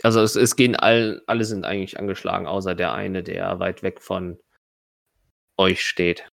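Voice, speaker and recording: Deep, gravelly man as game master. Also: little pitch movement, moderate pace, fairly bright tone, no echo, studio quality. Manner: hesitant, self-correcting. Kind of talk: improvised narration of tabletop combat.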